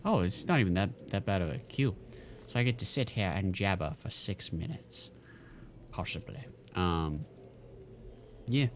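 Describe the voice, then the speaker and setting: A man's voice: sits low, animated, a little slow, pauses often, slight background noise. A man streaming a game, chatting casually and rambling with lots of fillers and restarts. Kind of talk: casual chat while gaming.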